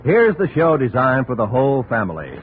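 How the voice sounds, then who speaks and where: Male 1950s radio announcer for promos. Polished, emphatic, sweeping pitch, tinny noisy recording. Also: wildly swooping pitch, a little fast. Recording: noisy, narrow-band vintage radio recording.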